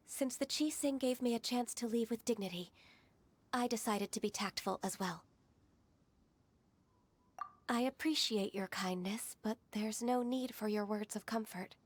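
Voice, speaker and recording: A woman voicing a gentle, dutiful half-divine secretary in a game, speaking in a soft, measured, wistful way. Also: fairly high pitch. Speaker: game character.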